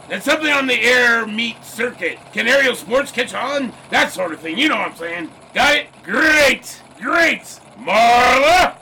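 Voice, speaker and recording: An actor playing a brash, excitable 1920s newspaper boss, punchy and bossy, in a period audio drama. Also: male voice, very high voice, slight background noise, exaggerated voice, rough recording.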